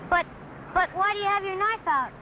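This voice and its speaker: A woman's voice: very high voice, a little fast, highs cut off. A woman playing an eager, earnest young boy who dreams of exploring, in an old-time radio adventure. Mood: anxious. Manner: earnest, protesting, boyish. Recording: slightly noisy old radio recording.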